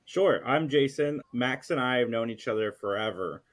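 Middle-aged man, mid-pitched, chatting casually. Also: lively intonation, normal speed. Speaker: podcast guest and co-host of a geek podcast. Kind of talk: casual chat.

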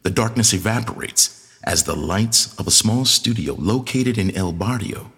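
An American man presenting and narrating an audio play from a script, with a husky voice. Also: on the low side, lively intonation, normal speed, a bit bright. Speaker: presenter and artistic director. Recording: long reverberant hall sound.

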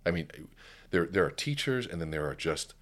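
A middle-aged man, a guest speaker in a casual podcast chat, talking in a deliberate, resonant voice from the diaphragm and telling stories. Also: low-pitched, sing-song, fairly bright tone.

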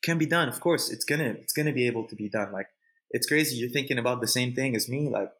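A man's voice: medium pitch, fast, a bit bright, slightly rough. A Canadian man chatting informally in an interview, repeating phrases for emphasis and using plenty of slang. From Montreal, Canada. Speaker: jiu-jitsu competitor, interview guest. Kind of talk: casual, unscripted interview chat.

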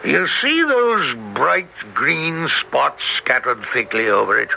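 A man playing an excitable, eccentric naturalist with a sinister edge and hesitant starts, in old radio drama style. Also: fairly high pitch, slow, creaky, heavily acted. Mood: ominous, knowing. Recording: faintly noisy old radio recording.

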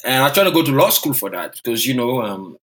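A man's voice: medium pitch, big pitch swings, fast talker, fairly bright tone. A man in his thirties from Côte d'Ivoire, a native French speaker, telling his own story as a podcast guest in a reflective, self-deprecating way. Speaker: guest economist. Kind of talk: podcast interview.

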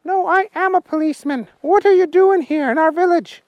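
A man voicing a small, officious male policeman dressed in yellow, in a high, stern voice. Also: brisk, no room sound, muffled recording.

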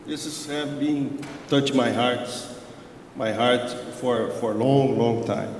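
A man's voice: mid-range voice, steady tone, slow. A man whose first language is Portuguese, speaking as a pastor with a Portuguese accent in hesitant English full of "uh" fillers. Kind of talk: halting church testimony. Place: large church sanctuary. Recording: roomy echoing church hall, faint background noise.

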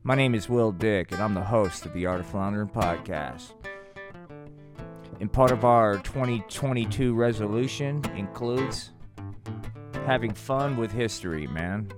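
A man's voice: fairly low pitch, a little slow, music in the background. A man hosting a podcast with loose comic storytelling, laid-back, slangy and rambling. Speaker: podcast host.

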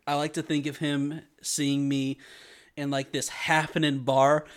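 A young man co-hosting a podcast in casual banter, often breaking into high-pitched giggles. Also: flat delivery, a little slow, clear, resonant tone. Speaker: podcast co-host.

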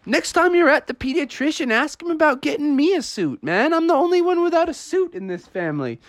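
A man's voice: very high voice, big pitch swings, brisk, no room sound, clean studio sound, voice pushed up. A man voicing a hapless, grumbling storybook frog dad, plaintive and exclaiming.

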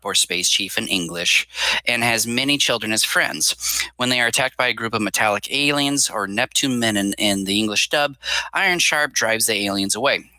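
A man's voice: lowish voice, bright, forceful tone, no room sound, rough recording. A man co-hosting a film podcast, chatting casually and rambling a bit with fillers. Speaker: podcast co-host. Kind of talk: casual chat.

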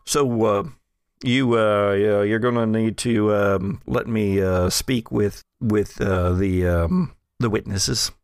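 A man voicing an officious government agent who speaks formally and haltingly. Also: lowish voice, fairly even intonation, clear, resonant tone, no echo, clean studio sound, voice pushed down. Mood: halting, official. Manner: halting, formal, full of pauses. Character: hesitant, officious male agent questioning witnesses.

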